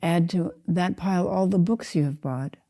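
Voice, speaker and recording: Older woman, very dark mellow low voice. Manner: calm, unhurried, measured, with spaced-out phrases. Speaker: Zen teacher leading a guided meditation. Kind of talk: guided meditation.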